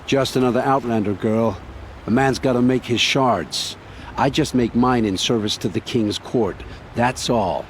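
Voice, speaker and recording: An actor playing a guarded, weary scout who talks in a gruff, dismissive way. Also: male voice, mid-range voice, measured pace, close to an everyday voice. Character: guarded, evasive male scout, weary and curt. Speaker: outlander scout. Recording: game audio with ambient noise.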